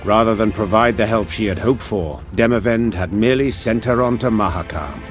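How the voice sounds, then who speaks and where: Man as game storyteller, low voice, solemn, measured, dramatic narration, soft music behind. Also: lightly acted. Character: male storyteller narrating a grave war saga.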